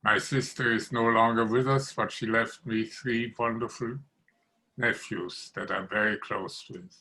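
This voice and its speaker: A man in his nineties from Austria, telling his life story in a measured, deliberate way over a video call. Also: lowish voice, fairly even intonation, pushed and bright, poor audio. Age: 90s. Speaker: interviewee. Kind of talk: oral history interview.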